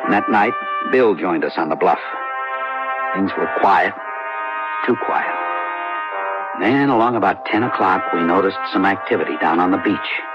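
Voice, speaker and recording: An actor playing a determined small-town sheriff who narrates his own case in steady, terse first person. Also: low voice, normal speed, pauses often, loud music behind the voice, close to an everyday voice. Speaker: narrator and lead character. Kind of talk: 1970s radio drama acting. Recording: dull lo-fi old radio broadcast.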